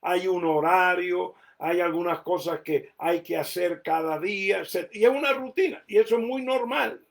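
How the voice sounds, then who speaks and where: Guy preaching a sermon, caribbean spanish accent, kinda high voice, chatty, repeats himself. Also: moderate pace, vocal fry. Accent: Caribbean Spanish, Cuban and Puerto Rican influenced. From Colombia, raised mostly in the United States. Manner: conversational, repeats words while thinking. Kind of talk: sermon teaching.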